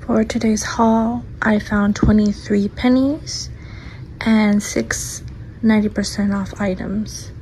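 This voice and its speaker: A woman filming herself shopping on her phone, narrating finds in a hushed, half-whispered voice as she walks the aisles. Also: medium pitch, steady tone, takes it slowly, slight background noise, rough recording. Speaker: shopping vlogger. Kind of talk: casual shop-along narration. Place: inside a discount store aisle. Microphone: phone held in hand.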